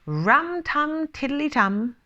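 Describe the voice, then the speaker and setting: A woman's voice: a little high, sing-song, slow pace, gravelly, a bit bright, lo-fi sound, voice pushed up, exaggerated voice. A woman voicing a cheery, teasing young boy in a storybook, with bold, singsong exclamations and questions. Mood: playful.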